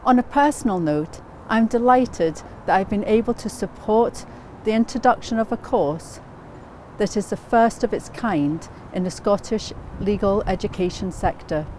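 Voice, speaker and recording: Mellow-voiced woman giving a formal testimonial. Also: medium pitch, moderate pace, slight background noise, rough recording. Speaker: university course director. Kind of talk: scripted promotional testimonial.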